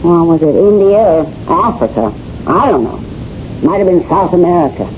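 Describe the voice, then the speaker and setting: A woman's voice: on the low side, lively intonation, moderate pace, lightly acted. An actor playing a brassy, flirtatious wife fed up with her husband, in slangy, wisecracking 1970s radio drama style, played by a woman. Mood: sardonic, flirtatious. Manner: slangy, wisecracking, ungrammatical delivery. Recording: muffled, hissy old radio broadcast recording.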